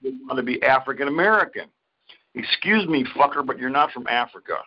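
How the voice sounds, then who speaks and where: A man's voice: mid-range voice, expressive, brisk, breathy edge. A man co-hosting a podcast in casual chat, crude and swearing heavily. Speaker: podcast co-host. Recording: dull lo-fi audio.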